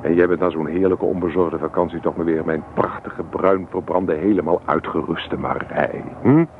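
An actor playing a restless, stubborn young student husband from a farming family in a radio play, sounding insistent and self-justifying. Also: male voice, low voice, fairly even intonation, moderate pace. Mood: coaxing, tender. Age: young adult. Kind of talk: Dutch radio play acting. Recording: noisy, thin, phone-like old radio recording.

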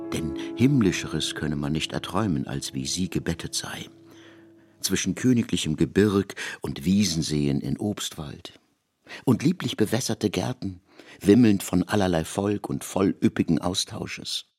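A man reading literary prose as a narrator in a radio production, in a measured, formal storytelling manner. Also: low-pitched, darkish tone.

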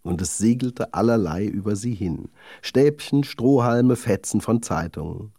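A man tells a children's fairy tale as its narrator. His voice is mellow with a gravelly creak, and his melody is animated. The recording is close and dry. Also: on the low side, a little slow, no echo. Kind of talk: scripted storytelling for children.

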